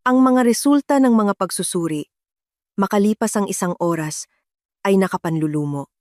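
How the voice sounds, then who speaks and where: A woman's voice: medium pitch, moderate pace, a little mellow, dry recording, clean studio sound. A woman reading a scripted, dramatic first-person story as its narrator.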